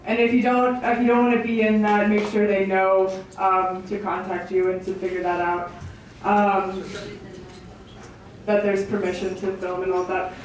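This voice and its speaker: A woman is welcoming people to a gathering as its host. Her delivery is halting and unscripted, with little rise and fall in pitch. The room echoes heavily, and she sounds some way from the mic with faint noise around her. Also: little pitch movement, recorded from a distance. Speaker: event host, organisation director. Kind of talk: informal welcome speech to a gathering. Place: public meeting in an echoey indoor venue.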